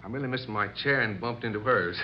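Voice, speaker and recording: A man's voice: medium pitch, quick pace, natural voice. An actor playing an obliging, flustered and apologetic man in a radio drama, with bumbling politeness. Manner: eager to please, bumbling politeness. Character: obliging, flustered, apologetic male séance helper. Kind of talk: old-time radio drama acting. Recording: dull lo-fi radio recording, faint hiss.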